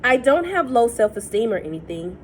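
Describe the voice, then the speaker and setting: A woman reading a novel aloud in a steady, measured first-person voice. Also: a little high, slight background noise. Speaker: reader.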